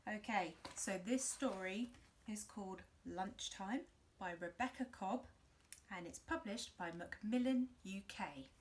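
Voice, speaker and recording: A woman reading a picture book to young children, expressive and demonstrative, in simple interactive phrases. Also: mid-range voice, measured pace, a little hiss or hum. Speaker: children's storyteller.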